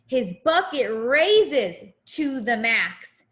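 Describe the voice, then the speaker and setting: A woman reading a picture book aloud to young children as a teacher, in an expressive, deliberate way with simple explanations. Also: high voice. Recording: echoey room.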